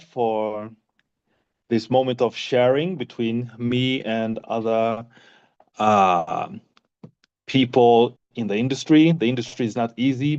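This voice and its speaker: A man's voice: mid-range voice, darkish tone. A man from Uganda with a mixed Ugandan and North American accent, talking casually on a video call. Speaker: session participant, film creative. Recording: dull video-call audio.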